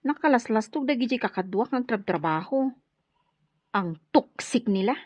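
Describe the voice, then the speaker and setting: A woman playing an expressive, sensitive young friend in an Ilocano radio drama. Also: fairly high pitch, normal speed, no echo. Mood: aggrieved. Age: young adult. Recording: dull, muffled radio drama audio.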